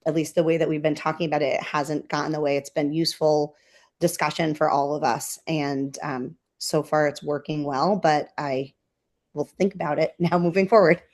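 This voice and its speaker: Lower-pitched American woman giving an update. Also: darkish tone. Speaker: school board chair speaking in a meeting. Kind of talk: informal meeting update.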